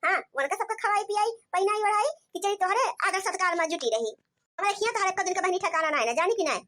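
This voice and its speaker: A woman's voice: extremely high pitch, racing, a bit bright. A woman voicing a domineering, spiteful village sister-in-law with an Awadhi accent, curt and scolding, in a cartoon comedy. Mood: dismissive.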